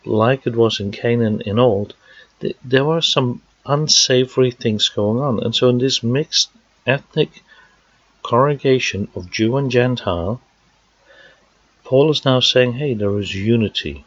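A man from the Netherlands with a Dutch accent, preaching a sermon and working through scripture verse by verse, pausing with fillers as he explains. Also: mid-range voice, breathy edge, dry recording. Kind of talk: sermon teaching. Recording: dry, dull lo-fi sound.

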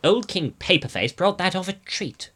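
A man's voice: sits high, lively intonation, moderate pace, pushed and bright, husky, pitched above the performer's own voice, heavily acted. A man voicing a hearty, jolly ex-Navy Prime Minister with sailor-like talk, in a storybook. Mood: hearty, pleased.

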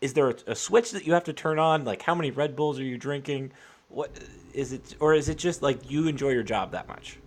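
A man's voice: mid-range voice, brisk. A young man hosting a casual interview, informal and hesitant, circling his questions with repeated words. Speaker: podcast host, interviewer.

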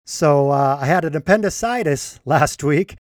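Man, podcast host, congested, throat clearing, slightly high voice, dry close sound. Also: lively intonation, normal speed, no echo. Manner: earnest, indignant commentary. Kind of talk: solo podcast commentary.

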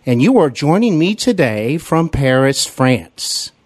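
A man's voice: fairly high pitch, big pitch swings, slow. A man hosting a podcast interview, giving formal, welcoming introductions. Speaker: podcast host.

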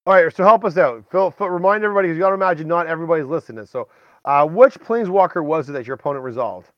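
A man's voice: fairly high pitch, animated, very fast, no echo. Male podcast host, dull dry sound. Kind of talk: casual storytelling chat.

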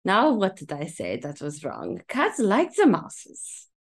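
Woman playing a chatty folksy butcher, animated voice. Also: mid-range voice, takes it slowly, creaky, husky, exaggerated voice. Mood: puzzled, jovial. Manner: garrulous folksy broken English. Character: chatty, folksy, good-natured male butcher shopkeeper. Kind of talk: old-time radio drama table read.

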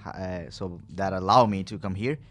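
A young man from Ecuador with a Spanish accent, a musician chatting easily as a podcast guest and laughing often. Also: low voice. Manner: easygoing, laughs often, speaks fast. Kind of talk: casual chat.

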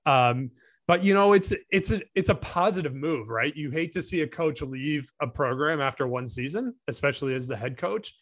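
A man's voice: a little high, lively intonation, normal speed, a bit bright. A man co-hosting a swimming podcast, chatting casually and thinking aloud with frequent restarts. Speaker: podcast co-host. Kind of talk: casual sports podcast chat.

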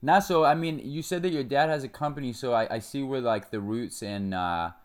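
A man's voice: mid-range voice, fairly quick. A young man hosting a podcast, asking questions in a friendly, affirming casual chat with restarts. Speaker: podcast host.

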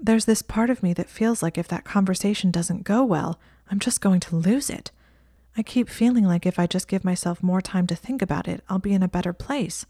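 A woman's voice: mid-range voice, fairly quick, dark tone, no echo, studio quality, lightly acted. A woman voicing an anxious, clever young witch who speaks in a tense, clipped way.

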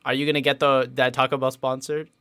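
A man's voice: medium pitch, level tone, quick pace, bright, forceful tone, clear, resonant tone. Giggly rambling teenage man. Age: late teens. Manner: giggly, rambling, lots of fillers. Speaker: podcast host. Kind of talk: casual chat.

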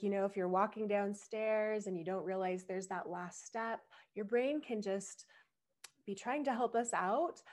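A young adult woman speaks into a microphone for her own podcast. Her voice is slightly husky from recent strain. She explains things thoughtfully, sometimes laughing softly at herself. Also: mid-range voice. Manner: reflective and explanatory, with small laughs. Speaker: podcast host. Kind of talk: solo podcast talk.

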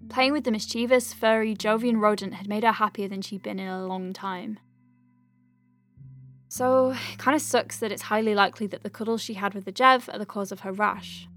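A woman playing a wry, soft-hearted young clinic doctor in an audio drama, chatting informally as she dictates her notes. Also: medium pitch, steady tone, normal speed, soft background music, close to an everyday voice. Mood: wry, tender. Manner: chatty, wry, hesitant asides. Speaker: lead voice actor.

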